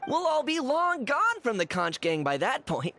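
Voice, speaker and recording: A woman playing a cheeky, warm-hearted youngster from a street gang in a video game. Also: high voice, big pitch swings, moderate pace, vocal fry, slight background noise, exaggerated voice. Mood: admiring. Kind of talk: video game character dialogue.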